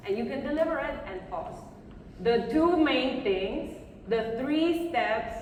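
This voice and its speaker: Woman conference speaker, encouraging and direct, raised pitch, heard through heavy room echo. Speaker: public speaking coach giving a conference talk. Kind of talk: live talk with audience questions, coaching advice. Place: conference session room before a live audience. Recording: very echoey hall, far microphone, faint noise.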